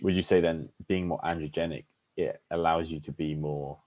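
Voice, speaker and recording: A man's voice: a bass voice, steady tone, normal speed, a little mellow. A man hosting a casual chat, asking eager, probing questions.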